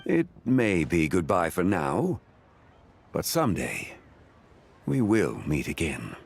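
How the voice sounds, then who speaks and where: A dignified, wise gentleman sage from a video game, with a deep voice and slow delivery. He is calm and grave, formal and a little cryptic. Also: vocal fry, a little hiss or hum, heavily acted. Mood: calm, knowing.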